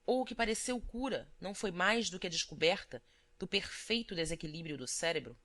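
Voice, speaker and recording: Woman reading as an austere male physician, pensive, bright tone, self-questioning, audiobook. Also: lowish voice, close to an everyday voice. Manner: deliberate musing to himself. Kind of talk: audiobook character voice.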